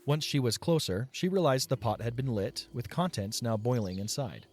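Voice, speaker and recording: A man's voice: medium pitch, animated, moderate pace, darkish tone, a little hiss or hum. A man reading scripted story narration as the narrator of an audio drama.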